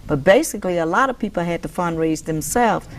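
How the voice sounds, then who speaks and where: Woman with a low, gravelly voice. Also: expressive, noisy background. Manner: unhurried, with filler restarts. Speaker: welfare rights organizer. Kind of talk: recalled interview testimony. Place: dark studio, indoors.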